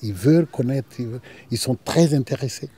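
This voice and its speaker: A husky, soft-toned man at a diaspora gathering, casually making his point to an interviewer in repeated phrases. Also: mid-range voice, a little fast. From Rwandan diaspora.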